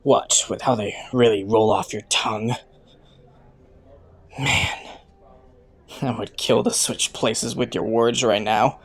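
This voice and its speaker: Low-voiced, flirty, smug criminal informant played by a woman. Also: fairly even intonation, exaggerated voice. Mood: flirty, sultry. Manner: teasing, playful, drawn-out words with chuckles and sighs. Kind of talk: scripted roleplay audio, intimate second-person monologue.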